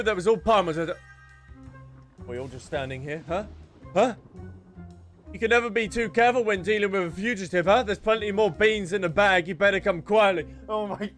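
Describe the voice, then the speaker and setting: A man with a high, lively voice comments on a game as he streams it. He reacts casually and teasingly, close to the mic, with game music playing softly underneath. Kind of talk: excited casual livestream commentary. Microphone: close streaming microphone.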